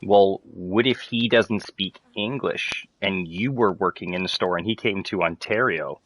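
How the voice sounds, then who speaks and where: Deep, fast-talking man ranting casually. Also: fairly bright tone, dry recording, rough recording. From French Canada. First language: French. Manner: fast, rambling, swears a lot, many "like" fillers. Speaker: streamer chatting with friends. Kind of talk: casual rant to friends.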